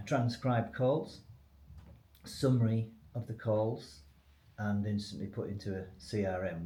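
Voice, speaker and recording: Male company expert, conversational explainer in a business interview, lowish register, reverberant room with faint noise behind. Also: warm tone.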